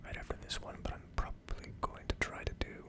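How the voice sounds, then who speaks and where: An ASMR video host whispering casually to viewers in a soft, unhurried manner.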